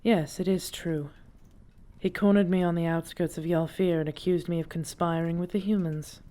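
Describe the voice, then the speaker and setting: A woman's voice: lowish voice, fairly even intonation, moderate pace, mellow, natural voice. A woman playing a defiant, principled dragon princess in an audio drama, speaking formally and with earnest emphasis. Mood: grave, measured. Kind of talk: dramatic audio roleplay acting.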